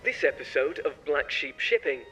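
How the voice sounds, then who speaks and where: A man's voice: a little high, lively intonation, moderate pace, pushed and bright. A man reading a scripted announcement as a podcast announcer, with a slightly dull, radio-like sound.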